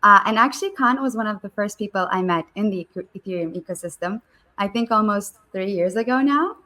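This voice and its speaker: A woman co-hosting a podcast, opening the show and introducing the guest in a halting way with fillers. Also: mid-range voice, bright, forceful tone. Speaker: podcast co-host.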